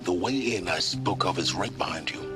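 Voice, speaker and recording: An older man acting a stern, condescending scholar in a video game, speaking in a measured, dry, imperious way. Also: sits low. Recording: loud music under the voice.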